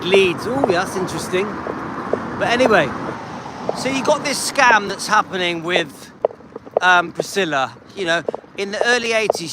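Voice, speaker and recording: Chatty high-voiced English man. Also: noisy background. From England. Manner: chatty, rambling, addresses viewers by name. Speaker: vlogger and live streamer. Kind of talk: chatty live stream, reading comments. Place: outdoors on a dog walk.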